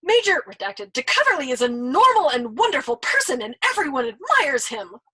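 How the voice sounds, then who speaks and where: A woman voicing an indignant young American airman who shouts his outrage in a breathy voice, as she reads a storybook aloud. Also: high-pitched, very animated, normal speed, voice pushed up, exaggerated voice. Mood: outraged.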